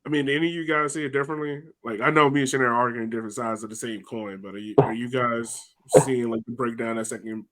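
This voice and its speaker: A man's voice: medium pitch, little pitch movement, fast, hardly any pauses, fairly bright tone, poor audio. Casual man hosting a soccer podcast. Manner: loose, lots of likes and restarts. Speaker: podcast host.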